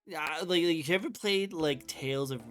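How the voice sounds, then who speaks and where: A man's voice: fairly high pitch, normal speed, fairly bright tone. A young man hosting a casual interview, talking with enthusiasm, many fillers and restarts as he rambles through ideas. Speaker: interview host. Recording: faint music underneath.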